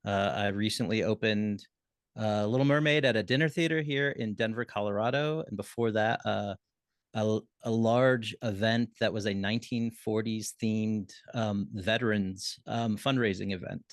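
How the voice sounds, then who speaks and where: Dry, lower-voiced middle-aged man. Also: moderate pace, no room sound. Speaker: panelist, lighting designer. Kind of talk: casual self-introduction. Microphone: video call.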